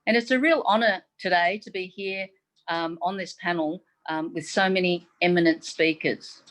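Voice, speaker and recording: Measured, formal woman with lowish voice. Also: fairly bright tone. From Taiwan. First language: Mandarin Chinese. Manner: measured, formal delivery with frequent "um"s. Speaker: chief medical advisor. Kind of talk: prepared forum speech. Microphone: video call.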